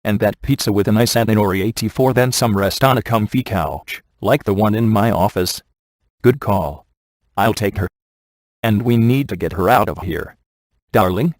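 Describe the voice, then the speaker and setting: A man's voice: fairly low pitch, dry recording, natural voice. A man voicing a cocky, wisecracking, flirtatious middle-aged ghost hunter in a video game, with dry, sarcastic delivery. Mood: flirty, wry. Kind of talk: video game voice acting, one-liners.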